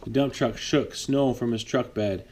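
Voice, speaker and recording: A man reading a picture book aloud to his child at bedtime, lively, pausing to chat with the child. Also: medium pitch, slight background noise. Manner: lively read-aloud, voices for the trucks, chats with the child. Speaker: father reading a bedtime story.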